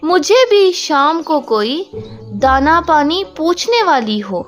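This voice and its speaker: Lovelorn male sparrow for a cartoon fable, acted out by a performer: high, unhurried, plaintive and pleading. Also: big pitch swings, a bit bright, soft background music, full character voice. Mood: lonely pleading. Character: lonely, pleading male sparrow longing to marry. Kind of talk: Hindi cartoon moral story dialogue.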